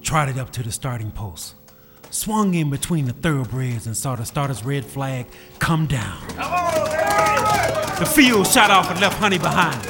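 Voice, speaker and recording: A man's voice: mid-range voice, sing-song, a little slow. A man narrating an old-time radio drama in a folksy storytelling manner. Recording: old radio recording with music behind.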